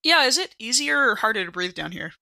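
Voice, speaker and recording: A woman chatting casually as a player in a tabletop role-playing game, animated and a bit halting, repeating words as she thinks. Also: on the high side, creaky, no room sound. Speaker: player in a tabletop RPG podcast. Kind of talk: casual improvised game chat.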